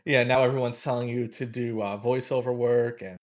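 A man's voice: medium pitch, fairly even intonation, brisk. Upbeat man hosting a podcast. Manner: upbeat, scripted intro then casual questions. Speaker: podcast host. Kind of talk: podcast interview.

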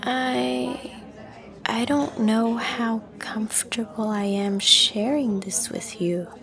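A woman playing a guarded, unsure young student in an audio drama, soft-spoken and hesitant, often trailing into breathiness. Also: on the high side, steady tone, slow, vocal fry, heavily acted. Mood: reluctant. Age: young adult. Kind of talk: acted audio drama dialogue.

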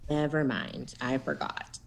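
A woman co-hosting a podcast in casual chat, animated and giggly, with lively storytelling asides. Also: extremely low pitch, a little slow, vocal fry. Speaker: podcast co-host.

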